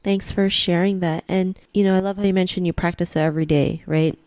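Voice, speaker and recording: A woman's voice: fairly low pitch, steady tone, fairly quick, a little mellow, no echo, clean studio sound. A woman hosting an interview podcast, upbeat and chatty, often saying "you know" and "right". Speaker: podcast host. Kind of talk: casual interview chat.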